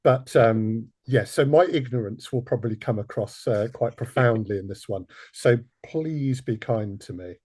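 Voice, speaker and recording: A man's voice: mid-range voice, normal speed, few pauses, dark tone, slightly rough, dry recording. A man hosting a podcast panel interview, introducing and questioning in a self-deprecating manner. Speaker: podcast host.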